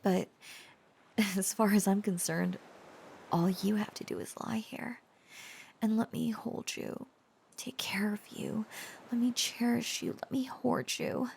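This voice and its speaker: A woman playing a tender, protective dragon-blooded girlfriend in an intimate romantic audio roleplay, halting and earnest, often slipping into whispers. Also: medium pitch, fairly even intonation, creaky, a little mellow, slight background noise. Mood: tender, adoring. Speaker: voice actor.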